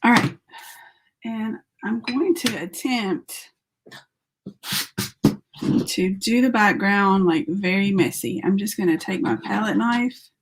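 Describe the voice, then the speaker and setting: A woman with a sore throat, her voice starting to give out. She talks slowly and unhurriedly, chatting and thinking aloud as she works through a casual live painting tutorial. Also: mid-range voice, background noise. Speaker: artist hosting a live painting stream.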